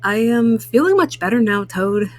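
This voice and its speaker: A woman's voice: on the high side, lively intonation, normal speed, darkish tone, lightly acted. A woman voicing a friendly, curious male frog from a storybook, speaking simply and earnestly. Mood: kindly.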